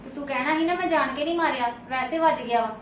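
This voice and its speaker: A woman playing an elder aunt who speaks softly and consoles a child in a family drama. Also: sits high, fast talker, reverberant, distant mic, a little hiss or hum, low-quality recording. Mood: consoling.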